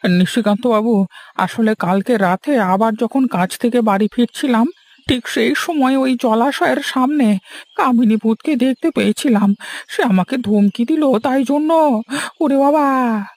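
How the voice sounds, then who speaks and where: Woman playing a high-voiced, stammering cowardly village man. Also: darkish tone, no echo. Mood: nervous. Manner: stammering, panicky, halting. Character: frightened, cowardly village man pleading for his life. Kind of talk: Bengali cartoon voice acting.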